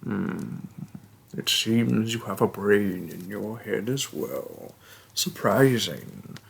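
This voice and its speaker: A hulking orc shaman, acted by a man, with a deeply creaky, gravelly voice and a husky rasp. He speaks very slowly in formal, deliberate phrases, low and swinging in pitch. He is stern and gruff but hospitable, made for fantasy roleplay audio. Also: lively intonation, exaggerated voice. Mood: wry, appraising.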